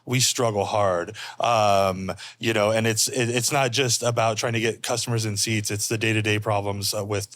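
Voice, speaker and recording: A man, a chef and small restaurant owner, answering interview questions in an earnest, halting way with many pauses. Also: on the low side, fairly even intonation, fairly bright tone.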